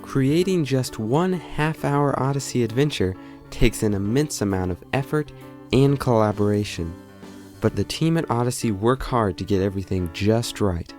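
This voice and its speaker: A man's voice: medium pitch, moderate pace, a little mellow, soft background music. A man reading scripted narration as the narrator of a documentary.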